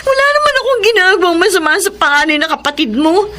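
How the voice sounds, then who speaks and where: A woman's voice: very high voice, quick pace, bright, forceful tone. A woman playing a meek, aggrieved young wife in a radio drama, with a plaintive, pleading manner. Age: 20s. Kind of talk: Filipino radio drama acting and narration.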